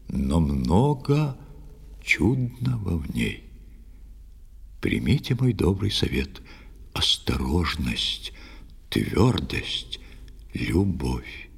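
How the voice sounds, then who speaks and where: An actor voicing a stern, pious priest who admonishes in grave, measured, emphatic verse in an audio play. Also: male voice, fairly low pitch, big pitch swings, vocal fry, breathy edge, long reverb tail, exaggerated voice. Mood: grave, hushed.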